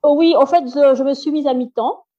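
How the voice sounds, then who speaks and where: A woman in her late thirties, a podcast guest answering in a halting way with many fillers. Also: high voice, highs cut off. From Belgium. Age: 30s. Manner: halting, frequent fillers and self-corrections. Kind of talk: interview answers.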